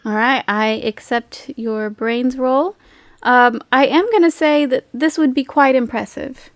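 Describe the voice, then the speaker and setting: A woman's voice: sits high, fairly even intonation, unhurried, no room sound. A woman acting as game master, chatting casually as she improvises and rules on a tabletop game.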